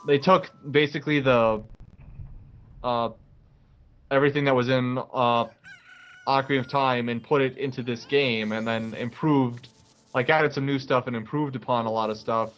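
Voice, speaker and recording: Halting young man over faint music. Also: mid-range voice, steady tone. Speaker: let's play co-host. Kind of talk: casual gaming commentary.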